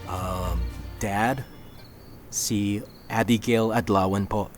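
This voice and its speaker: A man playing a relaxed, affectionate young boyfriend in a radio drama, reassuring and playfully teasing. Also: on the low side, drawn-out words, music in the background. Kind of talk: radio drama acting, English mixed in.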